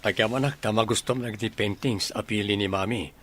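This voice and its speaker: An actor playing a courteous, attentive young gentleman in an Ilocano radio comedy drama. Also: lowish voice, fairly even intonation, quick pace. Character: courteous, attentive young gentleman showing his partner around home.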